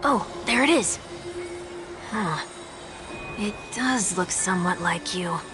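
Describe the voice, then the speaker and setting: An actress playing a quick-witted young woman trailblazer in a video game, talking in a wry, questioning way over loud game music. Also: on the low side, expressive. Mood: curious. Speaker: player character. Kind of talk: game voice acting.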